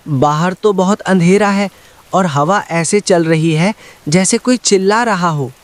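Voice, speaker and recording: A man's voice: high-pitched, a little slow. A man voicing a frightened little village boy in a cartoon story, speaking in a pleading, fearful manner. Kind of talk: dramatic cartoon story dialogue.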